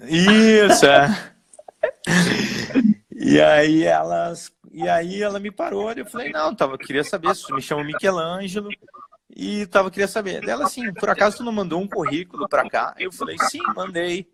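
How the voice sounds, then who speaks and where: A man's voice: high voice, moderate pace, gravelly, noisy background. A man who works as a personal trainer, telling his story casually and acting out the dialogue. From Brazil. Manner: animated storyteller, acts out dialogue.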